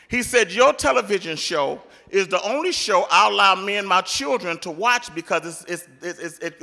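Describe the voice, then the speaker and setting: A man's voice: high-pitched, gravelly, bright, forceful tone. A middle-aged man at a church program, speaking into a handheld mic at a podium. He tells a story, quoting what each person said, and stammers now and then. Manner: retelling a story with many quoted lines, some stammering. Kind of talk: storytelling speech. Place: large church sanctuary. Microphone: handheld microphone at a podium. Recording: echoey church hall with faint music.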